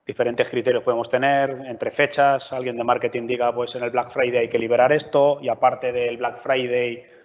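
A man's voice: medium pitch, little pitch movement, normal speed. A man from giving a conversational technical talk at a meetup, often checking in with the audience. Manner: conversational, frequent filler checks. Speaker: meetup speaker. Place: large meeting room. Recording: lo-fi roomy hall recording.

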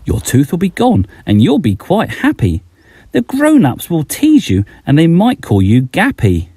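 Very animated middle-aged male storyteller. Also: on the high side, unhurried, slightly rough, no room sound. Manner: expressive, addressing young children. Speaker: children's author and storyteller. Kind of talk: read-aloud bedtime story in rhyme.

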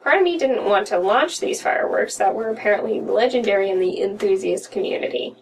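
A woman's voice: mid-range voice, brisk. A young American woman co-hosting a podcast, reading a story aloud in a room at home. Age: young adult. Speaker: podcast co-host reading horror stories. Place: small room at home, indoors. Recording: distant mic with room noise.

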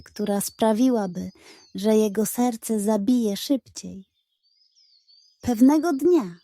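A woman narrates a children's bedtime story with expressive, scripted delivery. Her tone is soft-edged and mellow, she takes her time, and the recording is close and dry. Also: fairly high pitch, no room sound.

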